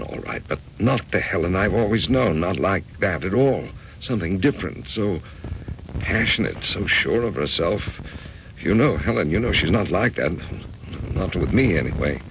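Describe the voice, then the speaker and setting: An actor playing an older, grieving husband in an old-time radio drama, anguished and apologetic, speaking haltingly and broodingly. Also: male voice, sits low, big pitch swings, gravelly, exaggerated voice. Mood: troubled, apologetic. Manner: hesitant, brooding, halting delivery. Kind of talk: old-time radio drama acting and first-person narration. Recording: dull, noisy old radio recording.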